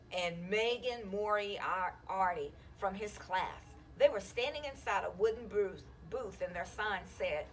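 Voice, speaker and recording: A woman reads a children's storybook aloud in an expressive, animated way. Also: mid-range voice. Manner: animated, acting out the children's dialogue. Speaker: school counselor reading a children's book aloud.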